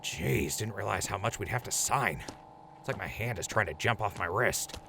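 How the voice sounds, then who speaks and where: Man as a gravelly, low-voiced wisecracking mercenary. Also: animated, moderate pace, heavy background noise. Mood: casual joking. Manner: casual, joking. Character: talkative, wisecracking wasteland mercenary crew leader. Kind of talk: scripted post-apocalyptic radio drama.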